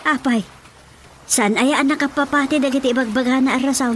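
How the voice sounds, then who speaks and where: A woman's voice: high voice, fairly even intonation, a little fast, fairly bright tone, slight background noise. A Filipino woman playing a wife who questions and banters with her husband in a radio comedy drama, in a conversational manner full of exasperated interjections. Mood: questioning. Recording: music bed under the dialogue.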